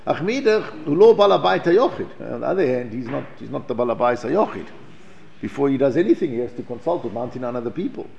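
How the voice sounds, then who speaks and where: A man's voice: medium pitch, lively intonation, fast talker, creaky, darkish tone. A man from South Africa teaching a Torah class, reading Hebrew passages and explaining them in English, with frequent restarts and repeated words. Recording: lo-fi, dull recording.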